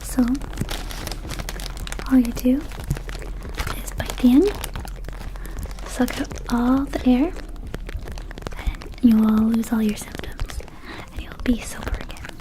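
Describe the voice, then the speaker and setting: Woman as friendly vampire girl minding an herb shop, ASMR roleplay. Hushed, breathy, light high voice, gently coaxing. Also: slow, long pauses, poor audio. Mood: playful explaining. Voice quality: soft breathy whisper. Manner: soothing, coaxing, hesitant asides. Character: friendly, mischievous young female vampire herb-shop keeper.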